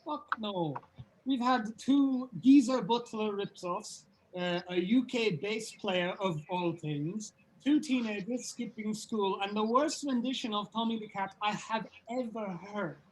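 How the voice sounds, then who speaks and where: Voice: mid-range voice, measured pace, natural voice, rough recording. A performer playing a foul-mouthed, jaded male rock band member at an informal script table read, blunt and sardonic. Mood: exasperated. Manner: blunt, sweary. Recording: clear background noise.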